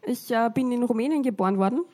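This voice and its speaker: A woman's voice: a little high, fast, darkish tone. A woman interpreting a church service live, phrase by phrase, with frequent hesitation fillers.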